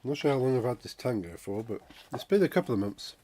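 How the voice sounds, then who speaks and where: A man, croaky from a cold, chatting casually on a game dev stream and reading out chat. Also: medium pitch, expressive, fast, mellow, a little hiss or hum. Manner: rambling, chatty, reads out chat messages. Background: funky music playing.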